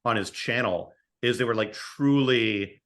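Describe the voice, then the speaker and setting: Bright-voiced man answering interview questions. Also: mid-range voice. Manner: halting, thinking aloud in short phrases. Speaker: podcast guest, screenwriter and co-creator of an audio drama. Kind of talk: casual interview storytelling.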